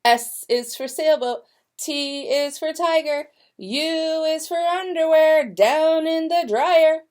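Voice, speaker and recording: A woman's voice: high voice, takes it slowly, darkish tone, voice pushed up. A woman voicing a playful little girl animal who stalls at bedtime in a storybook, with sing-song, pleading requests.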